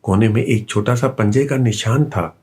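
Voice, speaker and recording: A man narrating a scripted children's bedtime story with an expressive storytelling delivery. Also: on the low side, moderate pace, husky.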